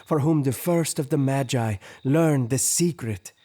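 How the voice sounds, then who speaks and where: An actor in an audio drama playing a young, respectful Magus, speaking in a measured, formal way. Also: male voice, mid-range voice, mellow, natural voice. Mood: measured, reverent. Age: young adult. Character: Abdus, youngest male Magus, respectful and attentive.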